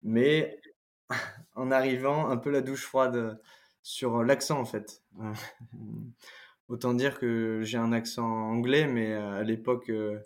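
A young man from France talking casually about studying abroad, halting with frequent fillers. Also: medium pitch, steady tone. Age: young adult. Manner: halting, frequent "euh" fillers, occasional laughs. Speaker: former exchange student. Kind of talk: casual podcast conversation.

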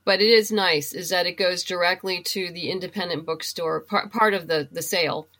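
Low-voiced woman chatting as guest. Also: steady tone, normal speed, fairly bright tone. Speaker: podcast guest, writer. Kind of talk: casual interview chat.

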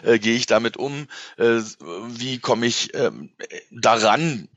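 A middle-aged man with an average-pitched voice talks as a podcast guest. He explains things in a loose, colloquial, rambling way. The sound is dry and close, with little room to it. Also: slow pace, a bit bright, no echo, lo-fi sound. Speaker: podcast guest, musician and entertainer. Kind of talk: casual interview chat.

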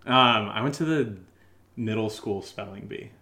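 A man co-hosting a podcast, answering questions in a casual, jokey, rambling and halting way. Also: lowish voice, creaky, crowd noise. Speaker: co-host of an audio drama podcast answering listener questions. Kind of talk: casual unscripted Q&A chat.

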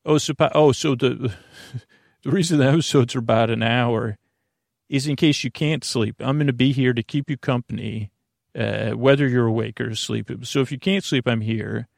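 A male podcast host with a gravelly, creaky voice rambles through an improvised bedtime monologue. He is halting, reassuring and self-deprecating, recorded dry and close with no room sound. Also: medium pitch, no room sound.

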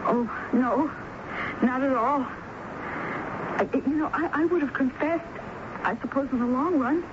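An actress playing a nervous, defensive typist under suspicion in a 1970s radio mystery, hesitant and stammering. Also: female voice, high voice, a little slow. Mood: subdued, wistful. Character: nervous, defensive female typist accused of murder. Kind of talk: 1970s radio drama acting. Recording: noisy, dull 1970s radio broadcast.

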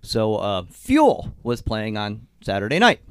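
A man co-hosting a podcast in a studio, telling a story in a lively way and laughing as he goes, a little sick and congested. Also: on the low side, a little slow, clean tone, dry recording. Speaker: co-host. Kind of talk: casual storytelling chat. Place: recording studio. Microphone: studio mic.

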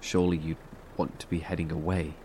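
A man's voice: deep voice, little pitch movement, a little fast, mellow. A man playing a suspicious survivor in an audio drama, speaking in a terse, hesitant, guarded way. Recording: faint car engine noise.

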